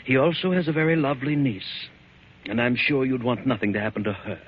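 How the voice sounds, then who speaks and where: An actor playing the cold, menacing leader of a secret committee in a 1940s radio drama, formal and measured. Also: male voice, medium pitch, animated, close to an everyday voice. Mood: cold menace. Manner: formal, measured, coldly commanding. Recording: dull lo-fi 1940s radio recording.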